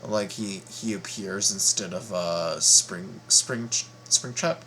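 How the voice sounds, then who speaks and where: A man giving casual, excitable fan commentary in a halting, repetitive way, full of exclamations. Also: low voice, slight background noise, poor audio. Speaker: YouTube reactor and fan commentator.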